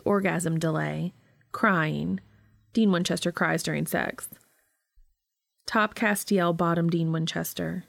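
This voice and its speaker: Low-pitched woman narrator, close dry sound. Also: creaky, dry recording. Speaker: podcast host and reader. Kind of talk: scripted narration of fan fiction.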